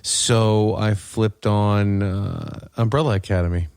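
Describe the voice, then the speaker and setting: Low-voiced man bantering on a podcast. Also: fairly even intonation, slow, darkish tone, clean tone, dry recording, studio quality. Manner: joking, teasing banter. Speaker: podcast co-host. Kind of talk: casual chat on a podcast stream.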